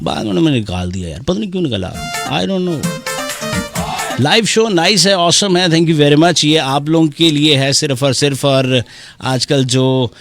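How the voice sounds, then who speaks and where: A man's voice: on the high side, animated, over music. A man hosting a live radio show, chatting casually and playfully as he takes calls and messages. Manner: playful, chatty, breaks into bits of song. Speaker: radio host taking calls and reading messages. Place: radio studio.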